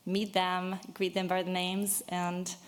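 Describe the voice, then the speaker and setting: A woman's voice: lowish voice, monotone, takes it slowly. Earnest French-born woman addressing a board. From Brittany, France. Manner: earnest, a bit nervous, many fillers. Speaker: business owner addressing a city board. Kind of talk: public comment at a meeting.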